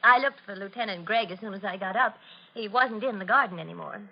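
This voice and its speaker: An actress playing an anxious, devoted wife, gentle, hesitant and pleading, in the style of an old-time radio thriller. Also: female voice, medium pitch, quick pace, lightly acted. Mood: light, fretful. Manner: hesitant, fretful, breaking off sentences. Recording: noisy, muffled old radio recording.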